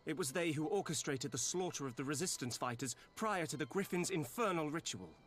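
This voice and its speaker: Earnest higher-voiced little soldier. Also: male voice, expressive, a little fast, fairly bright tone. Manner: formal, precise. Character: earnest, dutiful, diminutive male elfin soldier. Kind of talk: scripted video game dialogue.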